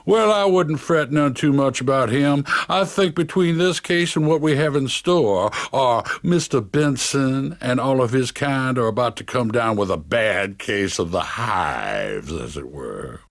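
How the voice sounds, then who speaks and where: An actor playing a pompous, scheming lawyer villain with a Southern US accent in an animated game cutscene. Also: male voice, medium pitch, no echo, clean studio sound. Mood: smug, gloating. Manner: pompous, drawn-out, folksy.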